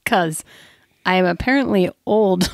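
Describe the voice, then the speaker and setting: A woman in her thirties chatting casually as a podcast co-host, giggly and animated in her storytelling. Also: medium pitch, slow, dry recording, clean studio sound. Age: 30s. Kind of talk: casual chat.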